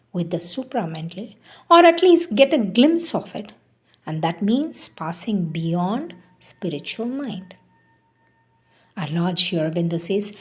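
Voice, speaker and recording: Woman reading devotional text, expressive pitch. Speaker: reader of spiritual writings.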